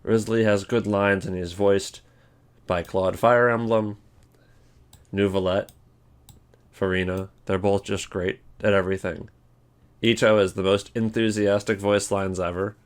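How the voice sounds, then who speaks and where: A man's voice: fairly low pitch, fairly even intonation, unhurried, long pauses. A man giving casual, rambling commentary on a ranking list as a video host. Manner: rambling, informal.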